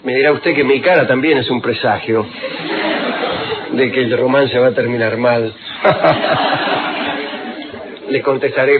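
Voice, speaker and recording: A man, an ironic radio host who spins digressive stories and drops quick asides. His mid-pitched voice comes through a thin, phone-like band. Clear hiss runs under an old archive recording. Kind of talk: humorous radio talk.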